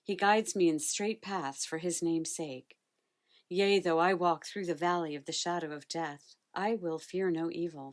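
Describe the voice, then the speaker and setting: A woman solemnly reciting scripture at a memorial, measured and phrase by phrase. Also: sits low. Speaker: reader at a memorial service.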